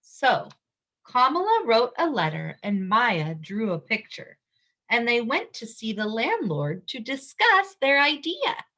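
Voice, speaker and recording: A woman reads a storybook to small children in a bright, fairly high voice. She takes her time, leaning on key words and pausing to put simple questions to her young listeners. Her intonation rises and falls expressively throughout. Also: very animated. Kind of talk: picture-book read-aloud with teacherly asides and questions.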